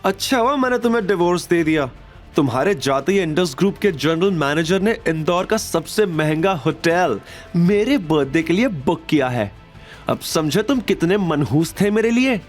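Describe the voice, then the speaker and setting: Audio drama role: gloating, scornful young ex-wife acted by a man, theatrical delivery, pitched high and swooping. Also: lively intonation, moderate pace, faint music behind, close to an everyday voice. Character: spiteful, gloating young ex-wife, shrill and haughty.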